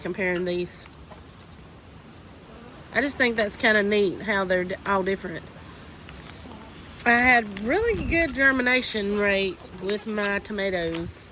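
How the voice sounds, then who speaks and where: A woman's voice: mid-range voice, a bit bright, poor audio. A woman with a stuffy, congested voice, chatting casually as she shows how to repot seedlings outdoors in the wind. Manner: chatty, homey and instructive. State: congested from a cold. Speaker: homesteading vlogger. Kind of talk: casual how-to gardening vlog. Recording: faint wind noise outdoors.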